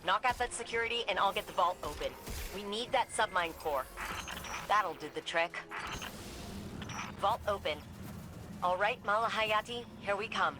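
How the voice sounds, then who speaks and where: An actress playing a wry, brisk female mission operator in a video game, with an informal, quippy and practical delivery. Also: mid-range voice, natural voice. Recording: clear background ambience noise.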